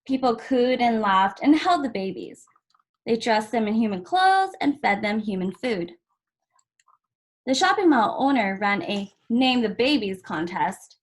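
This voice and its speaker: Higher-voiced woman reading a children's book aloud. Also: animated, unhurried. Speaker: volunteer story reader.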